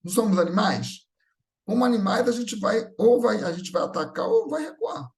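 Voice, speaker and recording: Man, Brazilian accent, high voice, swooping intonation, conversational sermon, teacherly, repeats phrases for emphasis. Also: very animated, moderate pace, gravelly, a little mellow. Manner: didactic, repeats phrases, frequent rhetorical questions and check-in tags. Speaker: speaker at a Spiritist gathering. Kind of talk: spiritual talk, conversational preaching.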